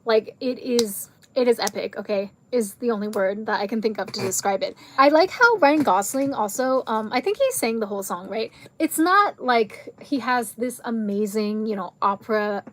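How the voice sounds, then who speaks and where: A woman chatting to camera in a rambling, tangent-filled way, full of fillers, her voice going hoarse. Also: fairly high pitch, expressive, moderate pace, hardly any pauses, slight background noise. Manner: frequent fillers like "like" and "um". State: losing her voice. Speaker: video creator reviewing a film. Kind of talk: casual chat to camera.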